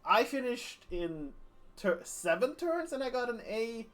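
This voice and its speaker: A man's voice: very high voice, sing-song, a little slow, a bit bright. A man streaming a strategy game, chatting casually about his plans and greeting viewers in a rambling, self-deprecating way.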